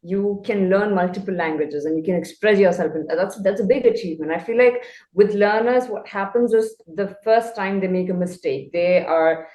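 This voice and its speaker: A woman, Malayalam, talking as a guest tutor in a relaxed conversation. She sounds thoughtful and articulate, and her voice bounces around an echoey room at an ordinary pitch. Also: normal speed. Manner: thoughtful, articulate, laughs easily. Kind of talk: casual conversation.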